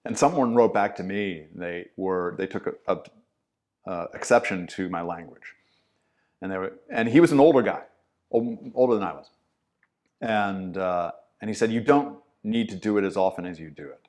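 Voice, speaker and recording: A middle-aged man with a low-pitched voice talks easily as a podcast guest. He is an acting teacher who tells stories and acts out other people's lines with a lot of animation, salty words included. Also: normal speed. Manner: animated storyteller, acts out quotes with swearing. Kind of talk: casual conversational interview.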